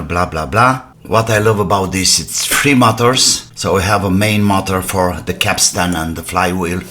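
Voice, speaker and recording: A man, an English speaker, thinking aloud in a casual, halting way as he hosts a hands-on repair vlog, muttering asides to himself. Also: on the low side, a bit bright. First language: not English. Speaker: host of an electronics repair channel. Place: indoor workbench. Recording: noisy workbench with clicks and clatter.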